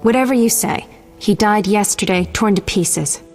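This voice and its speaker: A female actor plays a brisk young medic in a fantasy game. Her mid-pitched voice is clinical, confident and matter-of-fact. It rings with long reverb over music. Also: a little mellow, lightly acted. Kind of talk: video game voice acting.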